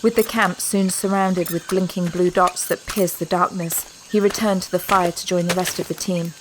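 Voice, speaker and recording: A woman's voice: fairly low pitch, steady tone, moderate pace, background noise. A woman narrator delivering scripted dramatic narration with precise, descriptive storytelling.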